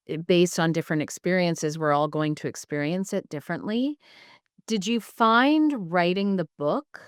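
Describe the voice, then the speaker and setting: A woman hosting an interview, asking questions in a measured, affirming way. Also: low-pitched, smooth, no room sound, clean studio sound. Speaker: podcast host.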